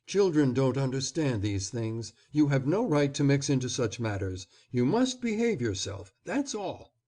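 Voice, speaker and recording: A man's voice: mid-range voice, sing-song, unhurried, mellow, pitched above the performer's own voice. A man reading aloud, voicing an anxious, scolding upper-class mother. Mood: stern. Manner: pleading then stern and commanding.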